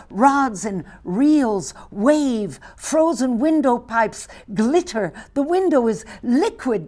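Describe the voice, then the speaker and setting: An elderly woman from Belgium in her eighties reads her poems aloud in a Flemish accent, English not being her first language. Her pace is slow and deliberate, soft and measured, and some passages sink to a near whisper. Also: fairly high pitch. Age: 80s. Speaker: poet. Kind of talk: poetry reading.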